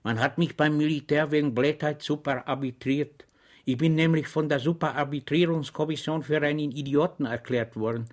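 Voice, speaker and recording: A man's voice: fairly high pitch, normal speed, pitched above the performer's own voice, natural voice. A man voicing a simple-minded, cheerfully earnest soldier who answers in innocent, meek tones.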